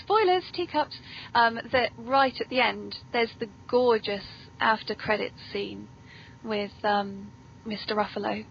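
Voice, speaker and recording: British female podcast host, slightly high voice, chatty and emphatic, muffled audio with obvious noise. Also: measured pace, fairly bright tone. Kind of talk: casual interview chat about films. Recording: dull lo-fi audio with clear noise.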